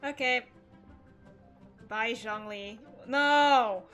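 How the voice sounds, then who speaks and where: A woman's voice: fairly high pitch, very slow, fairly bright tone, faint music behind. A woman hosting a casual gaming livestream, chatting playfully as she comments on the game and reads bits of dialogue aloud. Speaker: livestream host.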